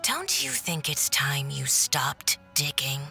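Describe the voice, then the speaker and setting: A woman's voice: sits low, big pitch swings, takes it slowly, gravelly, bright, forceful tone, faint music behind, heavily acted. A woman playing a haughty, mocking villainous diplomat in a video game, with a condescending, theatrical drawl. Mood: coldly threatening. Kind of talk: video game cutscene voice acting.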